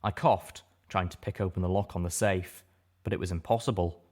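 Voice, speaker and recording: A man's voice: sits low, fairly even intonation, brisk, a little mellow. A man reading a first-person story aloud as dramatic scripted narration. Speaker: audiobook reader.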